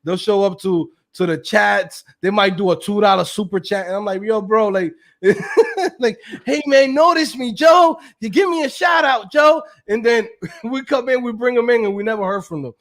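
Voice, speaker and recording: A man with a high, bright-toned speaking voice, chatting loosely as a guest. He is hyped and slangy and breaks into laughter often. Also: normal speed. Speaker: guest, coding course creator. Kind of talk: casual podcast chat.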